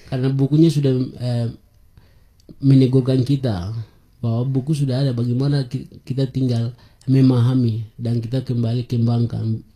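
A young man in his twenties speaks with a very dark, mellow tone. He is a guest in an interview, pausing often on filler sounds and laughing between points. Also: medium pitch. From Papua highlands, Indonesia. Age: 20s. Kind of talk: conversational interview.

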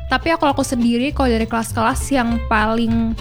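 A woman's voice: on the high side, fairly even intonation, quick pace, soft background music. A young woman guest, enthusiastic, recalling a favorite class and mixing English words into her talk. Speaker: guest, past contestant. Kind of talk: casual chat.

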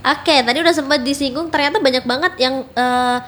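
A woman hosts a casual radio interview in a fairly high voice. She talks informally, dropping in English slang, over faint background noise. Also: brisk, fairly bright tone. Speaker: radio host. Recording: noticeable background ambient noise.

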